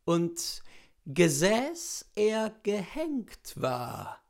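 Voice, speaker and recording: A woman's voice: fairly low pitch, sing-song, extremely slow, vocal fry. A woman gives a mock-serious, declamatory dramatic recitation of lyrics as poetry, drawing out her words. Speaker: reader of literary texts. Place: indoors, room with bookshelves.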